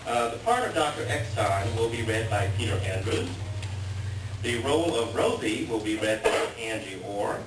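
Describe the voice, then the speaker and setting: A man's lowish voice carries from a distance in an echoey room with clear background noise. He introduces a play reading as its announcer, reading aloud and pausing often. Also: animated.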